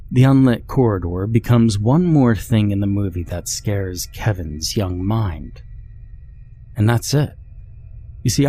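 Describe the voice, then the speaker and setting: A man narrating a scripted first-person horror story in a measured, deliberate way. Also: on the low side, mellow.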